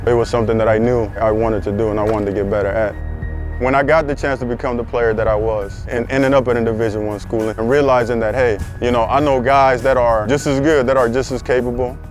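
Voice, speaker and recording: Young man from South Sudan, program founder, earnest interview delivery, medium pitch, light music under. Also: darkish tone. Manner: earnest, repeats words for emphasis. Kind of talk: documentary interview.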